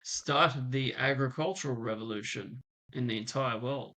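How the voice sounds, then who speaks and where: A man's voice: medium pitch, moderate pace, sharp tone, rough recording. A man chatting casually in a political discussion, agreeable and somewhat tentative in how he puts things. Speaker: political podcast speaker. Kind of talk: casual conversation.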